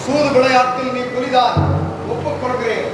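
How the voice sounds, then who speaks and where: Guy doing a fierce young warrior on stage, very high voice, long hall echo. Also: little pitch movement, normal speed, music in the background. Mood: challenging. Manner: fierce, challenging, declamatory. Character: fierce righteous young warrior prince Sahadeva. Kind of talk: Tamil stage play acting. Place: large auditorium stage. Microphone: distant room mic. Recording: echoey hall with long reverb, from far off.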